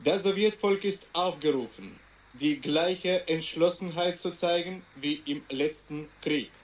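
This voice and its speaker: Guy acting stern official govt radio announcer, bit high voice, formal, radio play. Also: a little slow, natural voice, rough recording. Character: stern male Soviet state radio announcer.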